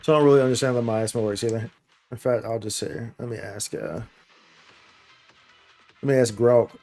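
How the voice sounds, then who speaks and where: A man's voice: mid-range voice, fairly even intonation, fairly quick, mellow. A man livestreaming an action game with casual, slangy commentary, thinking aloud as he plays. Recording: game music behind the voice. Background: game music.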